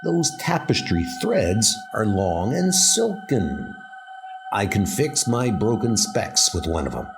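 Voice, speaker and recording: A man's voice: on the low side, sing-song, takes it slowly, gravelly, music in the background, exaggerated voice. A man voicing a cocky, boastful convict henchman with slangy talk in a horror comic dub, over rain noise. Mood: pleased, musing. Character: cocky bespectacled convict henchman, swaggering and talkative.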